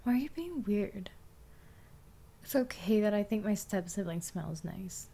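A woman acting a bossy young step-sister in an ASMR roleplay. She talks slowly in a casual, intimate way, with a middle-pitched voice. Her teasing lines often soften toward a whisper. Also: expressive, creaky, a little hiss or hum. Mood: teasing, relaxed.

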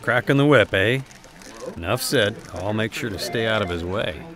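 An actor playing an easygoing newspaper colleague in an audio drama. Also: male voice, fairly low pitch, expressive, moderate pace, lightly acted. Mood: wry. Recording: busy office chatter behind.

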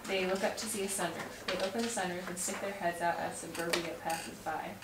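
A woman's voice: lowish voice, fairly even intonation, fairly quick. A young woman reading stage directions aloud from a script at a table read in a room. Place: home dining room, group around a table. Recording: roomy, distant mic, loud noise and clicks.

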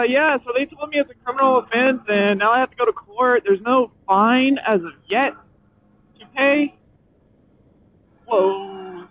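A young man chatting casually and excitably as a motorcycle vlogger, swearing and using slang as he rambles while riding. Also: high-pitched. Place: outdoors, riding a motorcycle at night. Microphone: helmet communicator mic inside helmet. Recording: muffled helmet intercom mic, clear background noise.

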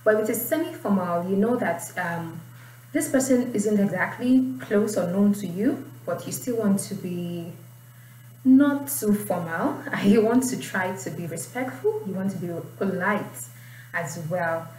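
A woman's voice: medium pitch, animated, measured pace, darkish tone. A young adult woman with a Nigerian accent, teaching in an informal tutorial style. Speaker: IELTS writing teacher. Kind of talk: informal tutorial, explaining and reading aloud. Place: small indoor room. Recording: roomy, distant mic, faint noise.

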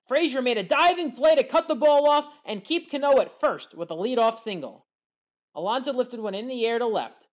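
A woman giving scripted play-by-play commentary over sports highlights as a voice-over.

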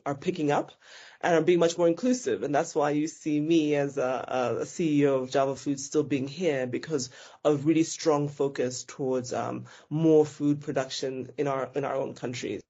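Businesswoman interviewee, warm and mellow, measured with frequent restarts, dull audio. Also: extremely low pitch. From Zambia. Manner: thoughtful, self-correcting, with frequent restarts. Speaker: business founder being interviewed. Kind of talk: conversational interview answers.

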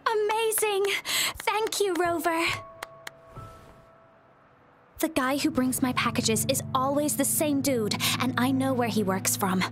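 A woman's voice: sits high, lively intonation, measured pace. A worried, determined young game heroine, speaks. She is earnest and hesitant, over faint music. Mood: hopeful. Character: worried, determined young woman, former pioneer, seeking help. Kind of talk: scripted video game dialogue.

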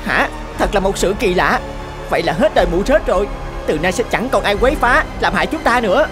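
A woman's voice: mid-range voice, animated, a little fast, lightly acted. Woman as an earnest cartoon animal, music behind. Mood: relieved wonder. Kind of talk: children's cartoon voice acting.

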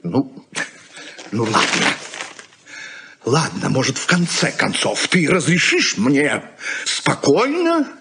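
A man's voice: mid-range voice, very animated, takes it slowly, vocal fry, long pauses, sharp tone, husky, full character voice. An actor playing an irritable, practical middle-aged husband and father in a Soviet radio play, lecturing in a condescending way. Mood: brusque, lecturing. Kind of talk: Soviet radio drama acting.

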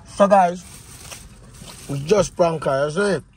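A man's voice: sits high, slow, a little mellow. A young man with a Caribbean accent, chatting casually to the camera as a vlogger inside a car. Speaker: prankster vlogger. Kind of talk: casual vlog chat to camera. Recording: faint car noise.

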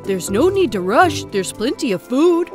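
A woman voicing a kindly, anxious housewife in an animated storybook cartoon. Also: high voice, measured pace, faint music behind. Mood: welcoming. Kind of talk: cartoon voice acting.

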